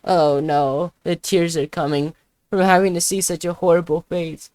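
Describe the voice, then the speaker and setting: A woman's voice: fairly low pitch, level tone, normal speed, dark tone, no room sound. A woman giving casual, playful gameplay commentary as a video creator, with jokey, mock-dramatic asides. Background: music.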